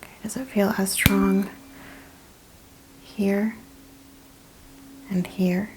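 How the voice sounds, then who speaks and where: A woman speaking softly, often in a breathy whisper, gently and carefully explaining each step as a chiropractor in an ASMR exam roleplay. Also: medium pitch, little pitch movement, very slow, a little hiss or hum, poor audio. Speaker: ASMR host playing a chiropractor examining a patient.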